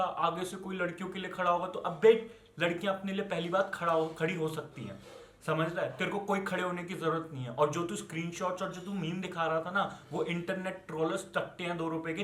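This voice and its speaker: A man's voice: high-pitched, fairly even intonation, fairly quick, a bit bright. A young man from India with an Indian accent delivers an angry, unscripted rant as an online commentator, scolding and swearing with rhetorical questions and switching into English. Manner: switches between Hindi and English. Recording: echoey, reverberant room.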